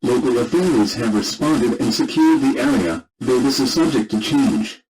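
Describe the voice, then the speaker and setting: A man's voice: mid-range voice, animated, normal speed, darkish tone, low-quality recording. Man reading scripted radio announcements. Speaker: radio announcer and emergency alert reader.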